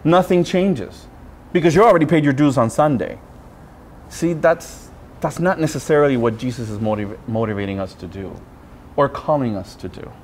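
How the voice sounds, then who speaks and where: A man, a Spanish speaker, preaching a sermon as a friar with personal storytelling, in deliberate phrases broken by pauses. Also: medium pitch, a little hiss or hum. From Puerto Rico. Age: 30s.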